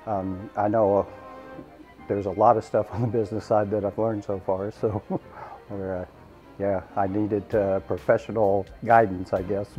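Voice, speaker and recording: A man from Wyoming giving a testimonial as an auto detailing student, halting and hedging with fillers. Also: fairly low pitch, flat delivery. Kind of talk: testimonial interview. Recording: slightly dull, muffled sound. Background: soft background music.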